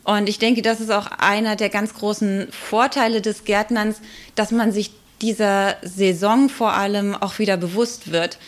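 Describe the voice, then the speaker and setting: A woman with a mid-pitched voice gives explanations as an expert guest on a podcast. She talks in a measured manner, pausing now and then to find the next word. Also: steady tone. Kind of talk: explanatory podcast interview talk.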